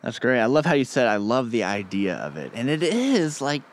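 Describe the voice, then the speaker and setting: A man's voice: mid-range voice, big pitch swings, moderate pace. A man interviewing a coding school graduate, enthusiastic and encouraging. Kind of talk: upbeat interview.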